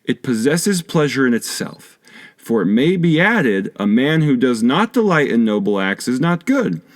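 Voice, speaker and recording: A man reads a scholarly text aloud in a formal, measured manner. His mid-pitched voice rises and falls widely, giving the scripted narration a very varied melody. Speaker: audiobook reader.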